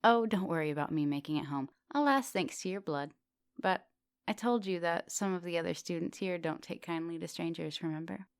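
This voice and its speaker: A woman playing an immortal vampire professor in an ASMR roleplay, teasing, flirtatious and gently insistent. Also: fairly low pitch, brisk, clear, resonant tone, no echo, close to an everyday voice. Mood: caring, insistent. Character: teasing, thousand-year-old female vampire professor, soft dominant, charming. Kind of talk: ASMR roleplay, intimate one-sided conversation.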